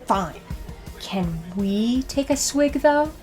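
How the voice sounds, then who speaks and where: A mischievous young female troublemaker, played by an actress, blunt and sardonic. Her voice is fairly high and swoops a lot, in a comic audio drama with faint music. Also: takes it slowly. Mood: irritated. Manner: deadpan, sardonic.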